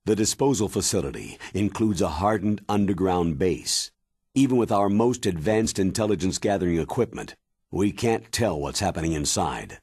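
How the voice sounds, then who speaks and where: An actor playing a stern military commander briefing an agent in a video game, grave and measured in manner. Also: male voice, low voice, expressive, a little mellow, no room sound, clean studio sound. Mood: instructive. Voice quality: husky, weighty. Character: stern, authoritative older military commander giving mission briefing. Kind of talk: dramatic video game cutscene acting.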